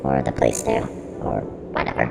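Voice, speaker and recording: An actor playing a snarky, defensive non-human service unit who runs a horror studio, with a pitched-down, gravelly voice in a mock interview. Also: male voice, steady tone, brisk, full character voice. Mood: defensive, casual. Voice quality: very deep, creaky, heavily reverberant. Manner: blunt, flippant, defensive. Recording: long reverberant echo, music underneath.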